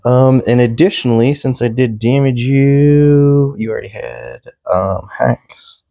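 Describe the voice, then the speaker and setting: Chill guy talking through his tabletop moves, gravelly low-key voice, warm dark tone. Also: unhurried. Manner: relaxed, chatty about his own plays. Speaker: co-host, opponent player. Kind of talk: casual tabletop game talk.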